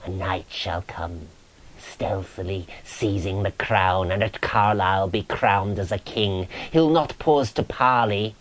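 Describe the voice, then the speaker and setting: A man's voice: sits low, slow pace, rough recording. A man voicing a grisly, woeful ghost who solemnly prophesies doom in a dramatic reading of medieval romance verse. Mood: doom-laden, woeful. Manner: solemn, incantatory, moaning, preaching.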